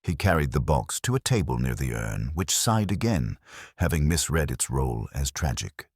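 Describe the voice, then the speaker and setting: Very deep, husky male story narrator. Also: big pitch swings, no room sound. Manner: dry, arch, measured. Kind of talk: scripted narration of a wry mystery story.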